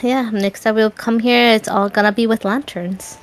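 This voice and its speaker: A woman's voice: on the high side, fairly even intonation, moderate pace. A woman co-hosting a game stream, giving short, casual reactions. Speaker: gaming stream co-host. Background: game music.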